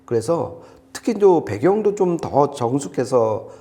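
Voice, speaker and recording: A man's voice: medium pitch, sing-song, measured pace, mellow. A man chatting through a product review in an enthusiastic, emphatic way. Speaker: audio gear reviewer on a shop's video show.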